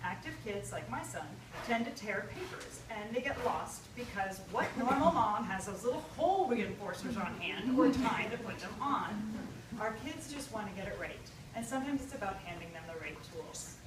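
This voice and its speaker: A woman reading a humorous personal essay to an audience, theatrical and emphatic, with deliberate pauses. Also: medium pitch, animated. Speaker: writer reading own essay. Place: live reading at an event. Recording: echoey, distant live recording with loud noise.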